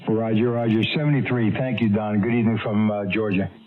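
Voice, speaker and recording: A man's voice: on the low side, fairly even intonation, fairly quick, narrow, tinny audio. A man working as an amateur radio operator, giving call signs and sign-offs over a radio transmission. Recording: thin, noisy shortwave radio signal.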